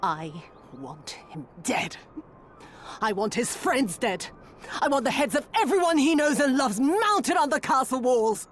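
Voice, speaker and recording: High-voiced, venomous noblewoman villain. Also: sing-song, measured pace, faint music behind. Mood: venomous fury. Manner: venomous, commanding. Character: vengeful, imperious noblewoman villain. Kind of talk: dramatic video game cutscene acting.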